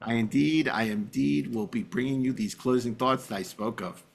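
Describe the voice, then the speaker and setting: A man hosting a casual chat show, speaking haltingly and repeating his phrases. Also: mid-range voice, creaky. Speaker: podcast host.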